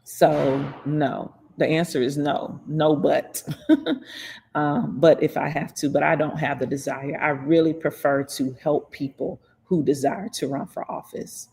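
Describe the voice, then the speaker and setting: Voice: medium pitch, steady tone, creaky, a little mellow, husky. A livestream host from Florida, talking casually about politics, reading viewer comments aloud and answering them with frequent laughs. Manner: reads chat comments aloud and replies, laughs often, slows down to stress points.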